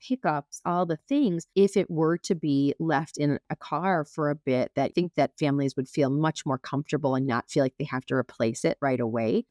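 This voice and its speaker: A woman's voice: deep voice, a little mellow, dry recording, clean studio sound. A woman speaking as a guest doctor, explaining medical points conversationally in plain, informal terms. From lives in Minnesota, United States.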